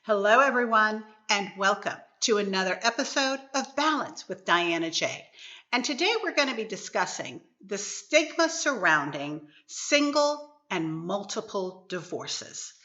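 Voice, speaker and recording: A middle-aged woman from the Caribbean hosting a podcast interview, speaking in a gentle, measured way. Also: medium pitch, sing-song, highs cut off. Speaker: podcast host.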